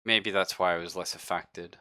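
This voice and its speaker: A man playing a nervous, evasive younger space crew survivor in a tabletop game, speaking haltingly with stammers. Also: low-pitched, fairly even intonation, bright, forceful tone, dry recording, clean studio sound. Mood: explaining, hedging.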